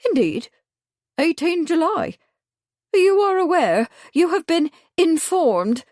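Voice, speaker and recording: A woman voicing a loud, pompous middle-aged village busybody who speaks shrilly and officiously. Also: extremely high pitch, very animated, unhurried, no echo, studio quality, pitched above the performer's own voice, exaggerated voice. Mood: suspicious.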